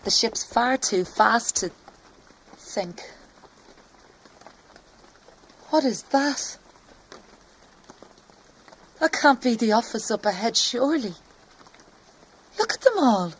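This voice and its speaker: Slow, wistful grieving mother. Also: fairly high pitch, big pitch swings, full character voice. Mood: anxious. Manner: slow, reflective, often hushed to breathy near-whisper. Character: grieving Irish mother and wife, wistful, quietly stoic. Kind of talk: intimate audio-play monologue.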